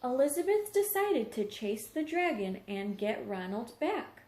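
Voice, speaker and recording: A woman's voice: a little high, sing-song, a little slow, a little mellow. A woman teacher reading a story aloud to young children, explaining things simply and checking in with questions. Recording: roomy, echoing home recording.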